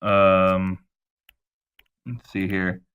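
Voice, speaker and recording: A man's voice: low-pitched, monotone, very slow, smooth, highs cut off. A man co-hosting a podcast in casual chat, laid-back and teasing, who laughs easily. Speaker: podcast co-host.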